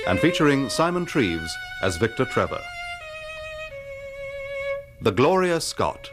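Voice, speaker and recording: Guy announcing radio play credits, formal, expressive pitch, violin playing behind. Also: slow. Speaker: announcer reading opening credits. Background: violin music.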